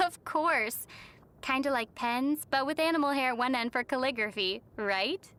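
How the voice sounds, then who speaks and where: A woman's voice: high voice, measured pace, a bit bright. A woman playing a young, sensible traveler girl in a video game, with a wry, teasing manner. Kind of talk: video game character dialogue. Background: music.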